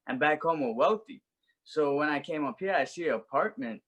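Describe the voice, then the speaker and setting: A man's voice: a little high, animated, normal speed, fairly bright tone, dull audio. Earnest young New man. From Brooklyn, New York. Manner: earnest, reflective storytelling. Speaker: guest, life coach. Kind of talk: personal story in an interview.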